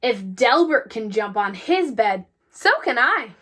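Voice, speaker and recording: Casting: woman as cheeky storybook boy, high voice, matter-of-fact lines, lively intonation. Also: slow, exaggerated voice. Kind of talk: children's storybook read-aloud.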